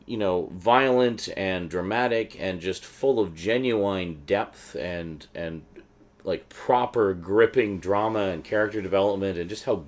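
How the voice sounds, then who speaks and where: Low-voiced man, casual halting vlog talk. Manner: halting, thinking aloud, conversational. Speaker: host of a collector's show. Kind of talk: casual rambling vlog commentary on a collection.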